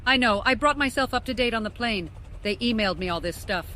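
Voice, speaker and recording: A woman's voice: a little high, expressive, brisk, bright, forceful tone, slight background noise. A woman playing an assertive, unflappable volcanologist, speaking in a matter-of-fact, self-assured way for an audiobook. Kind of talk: audiobook character dialogue.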